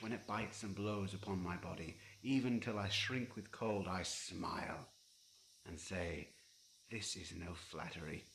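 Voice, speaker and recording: A man playing a philosophical exiled nobleman, reciting a Shakespearean monologue for radio in a measured, declamatory way. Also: on the low side, a bit bright, slight background noise, rough recording. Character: exiled nobleman, philosophical, content with woodland life.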